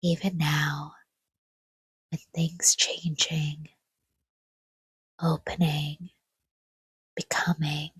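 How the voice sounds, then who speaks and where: A woman softly guiding a sleep meditation in a hushed, breathy whisper, with gentle, soothing delivery in short phrases and long pauses. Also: sits low, level tone, dry recording. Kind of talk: guided sleep meditation, scripted, soft-spoken.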